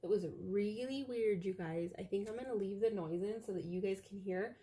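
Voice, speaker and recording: A young woman with a loud voice, chatting casually from her home office while crafting, meandering with lots of fillers. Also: mid-range voice, moderate pace, breathy edge. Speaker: craft vlogger. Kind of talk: rambling casual chat to viewers.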